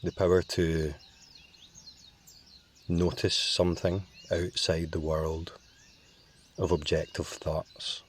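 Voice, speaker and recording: A man guiding a meditation in a calm, measured way with long pauses. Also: sits low, breathy edge. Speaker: meditation guide. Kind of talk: slow guided meditation.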